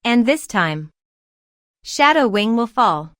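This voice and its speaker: A woman's voice: a little high, very animated, slow pace, a bit bright, no echo, studio quality, exaggerated voice. A woman as a bold, determined bird guardian. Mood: defiant. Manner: declarative, determined. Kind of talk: children's cartoon story acting.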